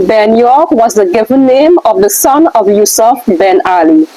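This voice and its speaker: A woman reading aloud from a book as one of the readers, with a somewhat halting delivery. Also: fairly high pitch, lively intonation, dark tone, low-quality recording. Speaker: reader in a question-and-answer scroll reading.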